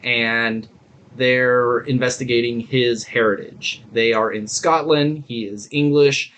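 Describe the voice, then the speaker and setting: A man's voice: medium pitch, steady tone, takes it slowly, a bit bright, clear, resonant tone, muffled recording. A man co-hosting a podcast in casual chat, telling stories in an irreverent, digressive way with some swearing. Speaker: podcast co-host.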